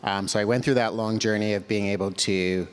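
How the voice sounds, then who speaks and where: A man with a fairly low voice speaks as a panelist, thoughtful and unhurried. A long reverb trails his words, as in a large hall. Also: fairly even intonation. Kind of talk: panel discussion.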